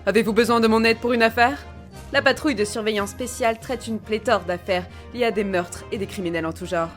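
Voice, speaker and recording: A woman's voice: fairly high pitch, a little fast, soft background music, close to an everyday voice. A woman voicing a stern, poised police captain in a game fan dub. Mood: composed, authoritative. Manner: composed, authoritative.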